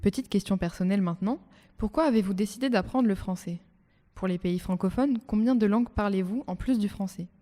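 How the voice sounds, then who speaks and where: A woman hosting a student podcast, reading her questions clearly from a script. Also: medium pitch, fairly quick, dark tone, soft background music. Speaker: podcast host.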